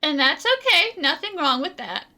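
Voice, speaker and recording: A woman's voice: high voice, sing-song, moderate pace, bright, forceful tone. A woman gamer doing casual, playful let's-play commentary, replying wryly to the game's characters. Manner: playful, wry replies to game characters. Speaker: gaming streamer playing and reacting.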